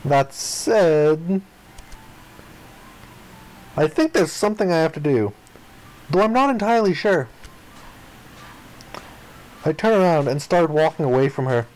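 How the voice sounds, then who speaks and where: A man reading the narration and dialogue of a visual novel aloud in an expressive style. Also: a little high, a little slow, pauses often, poor audio.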